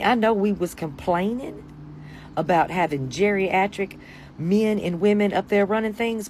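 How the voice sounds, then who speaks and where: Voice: mid-range voice, slight background noise. A middle-aged American commentator ranting informally in a sassy, exasperated, profane way, addressing the listener with pet names. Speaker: commentator giving opinions on the news. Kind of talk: informal opinionated rant to camera.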